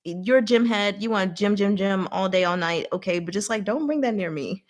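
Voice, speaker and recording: A woman hosting a casual live recap, talking in an expressive, playful, giggly way with emphatic asides. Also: mid-range voice, normal speed. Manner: giggly, expressive, laughs often while talking. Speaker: host of a live recap and discussion. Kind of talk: casual livestream chat recapping a story.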